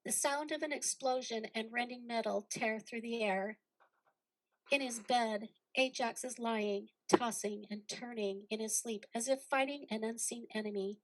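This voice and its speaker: A woman's voice: fairly high pitch, fairly even intonation, moderate pace, a little hiss or hum, rough recording. A woman narrating a play, reading out stage directions as scripted dramatic narration.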